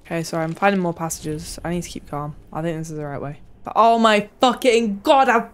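A woman's voice: fairly low pitch, a little fast, a little hiss or hum. A young woman giving casual, exasperated gameplay commentary, swearing and reacting aloud. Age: young adult. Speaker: game streamer. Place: small home room.

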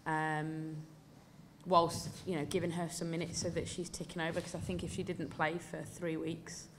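English female coach in her 30s, low-pitched, candid and emphatic at a press desk mic, faint background hiss. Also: level tone, normal speed. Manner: candid, emphatic, many fillers. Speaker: football coach. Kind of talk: post-match press conference answers. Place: indoor press room before a sponsor backdrop.

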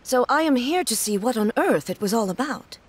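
A woman voicing a regal, stern divine ruler in a video game, formal and measured. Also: on the high side, lively intonation, slight background noise, close to an everyday voice. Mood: composed.

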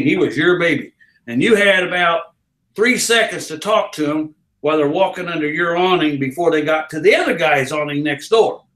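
An older man with a Southern American drawl spins yarns in a folksy, showman's way, his voice sitting a touch high for a man. He's caught by a distant camera in a small office with a roomy echo around him. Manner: folksy, loud carnival-barker storyteller. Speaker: interview guest. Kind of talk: storytelling in a casual interview. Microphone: far camera.

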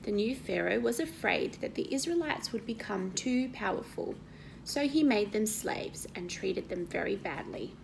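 A woman in her thirties reads a Bible story to children, explaining it and asking questions in an expressive, teacherly way. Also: mid-range voice, normal speed, gravelly, slight background noise, poor audio. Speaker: Sunday school story reader. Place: indoor living room at home.

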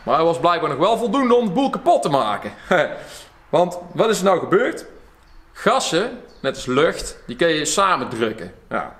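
Lively young mechanic explaining. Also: male voice, a little high, normal speed. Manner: lively, chatty, laughs often. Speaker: car mechanic and video host. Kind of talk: casual workshop explanation. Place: indoor car workshop.

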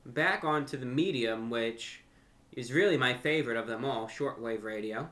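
A young man speaks to camera in a bright, forceful voice at a middling pitch. His phrasing is deliberate and a little formal, with pauses and repeated words as he works through his points like an online host. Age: young adult. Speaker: YouTube host and amateur radio broadcaster. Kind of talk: unscripted talk to camera. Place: furnished room at home, indoors.

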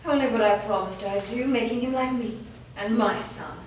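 A woman's voice: fairly high pitch, moderate pace, close to an everyday voice. A woman playing a seductive, cruel, ageless vampire queen in a staged script reading, taunting and commanding. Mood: taunting, menacing. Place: bare indoor room with small audience. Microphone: far camera. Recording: echoey room, distant mic, noisy.

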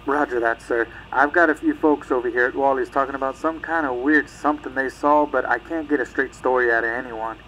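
An actor playing an earnest, dutiful small-town deputy reporting in procedural talk over a police radio in an audio drama. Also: male voice, mid-range voice, steady tone, a little fast, few pauses, sharp tone. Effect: police radio filter. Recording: muffled radio, faint noise.

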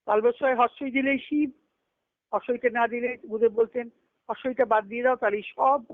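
A woman's voice: on the high side, steady tone, normal speed, no echo. A woman giving a devotional discourse as a spiritual teacher, reflective and explanatory, posing questions and answering them. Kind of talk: devotional discourse with recited scripture. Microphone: phone or conference call. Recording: narrow, tinny phone conference line.

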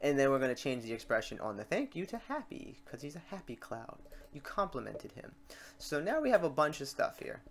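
A man hosting a casual, step-by-step software tutorial, talking informally to his viewers. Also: medium pitch, big pitch swings, a little fast. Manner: informal, addressing viewers as guys. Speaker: tutorial host. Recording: clear background noise, keyboard typing, clicks. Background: keyboard typing and mouse clicks.

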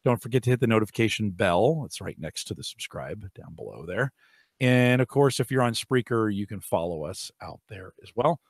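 A middle-aged man hosting a casual tech podcast, hoarse and raspy from allergies, rambling with fillers and restarts, on a boom-arm studio mic in a home studio. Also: lively intonation, normal speed, no echo. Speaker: podcast host. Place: home studio room with shelves.

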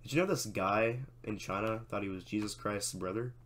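A young man who is sick reads a scripted history explainer in a steady, explanatory way, then signs off casually. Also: lowish voice, normal speed. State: sick, voice sounds off. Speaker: history video narrator. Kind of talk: scripted narration.

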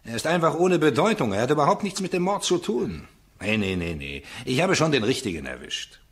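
Police inspector, lively swooping intonation, blunt, condescending, mid-pitched, radio crime play. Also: male voice, fairly quick. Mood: dismissive, smug. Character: dismissive, self-assured, folksy male police inspector. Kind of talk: German radio crime drama acting.

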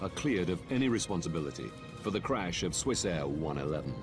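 A man reading scripted documentary narration in a measured, grave manner. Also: deep voice, very animated. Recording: music bed behind narration.

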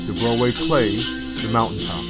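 A man's voice: mid-range voice, expressive, measured pace, loud music behind the voice, rough recording. Man reading a formal review voice-over. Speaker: host, reviewer. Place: voice-over over still images.

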